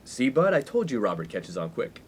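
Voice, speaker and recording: A man's voice: mid-range voice, very animated, normal speed, slight background noise, close to an everyday voice. A man playing a brash young college friend in a comic radio play, pompous, wordy and playful. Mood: coaxing. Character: brash, inappropriate young male college friend, mock-formal prankster. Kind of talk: 1950s-set comic radio play acting.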